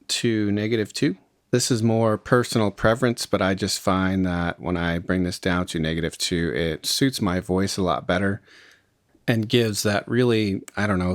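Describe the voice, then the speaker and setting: Man, lowish pitch, casual tutorial host, explanatory, informal, dry close recording. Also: no echo. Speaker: music production tutorial host. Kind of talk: casual step-by-step software tutorial narration.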